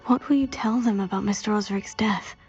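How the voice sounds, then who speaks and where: An actress playing a composed, dutiful teenage witch student in a game, calm and respectful. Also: mid-range voice, brisk, slightly rough, muffled recording, soft background music. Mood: earnest, calm. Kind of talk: dramatic game cutscene acting.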